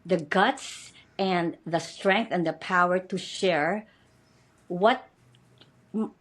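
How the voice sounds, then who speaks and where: A woman's voice: on the low side, slow pace, fairly bright tone. A woman in her fifties from the Philippines with a Filipino accent, speaking English as a second language, talking earnestly as a podcast guest and often restarting her phrases. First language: not English. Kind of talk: interview conversation.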